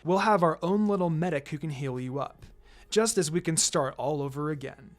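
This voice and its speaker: A man voicing a calm, quietly menacing male ninja mentor in a storybook reading, measured and playfully condescending. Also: on the high side, pitched below the performer's own voice, lightly acted. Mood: teasing menace. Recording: music behind the voice.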